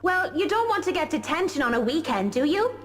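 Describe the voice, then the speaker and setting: A woman's voice: high voice, very animated, fairly quick. A woman voicing a timid teenage schoolgirl who talks in an anxious, coaxing way. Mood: pleading. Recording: reverberant game audio with music.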